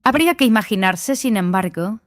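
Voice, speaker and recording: Actress as young female apprentice seer, prickly and frank, middle-pitched voice, audiobook fantasy, really dry recording. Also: expressive, moderate pace, dry recording, close to an everyday voice. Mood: thoughtful. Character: young woman sorcery student and dream seer, touchy and frank. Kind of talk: audiobook drama acting.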